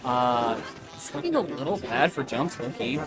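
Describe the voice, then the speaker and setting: A man doing casual live play-by-play as an esports commentator, slangy and excitable. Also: mid-range voice, moderate pace, poor audio. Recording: game music behind the voice.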